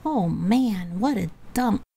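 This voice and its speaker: Woman as bossy young girl, storybook character, brash, insulting, dramatic, swooping lively pitch. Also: takes it slowly, creaky, slightly rough, full character voice. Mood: disgusted. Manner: brash, scornful, exclamatory.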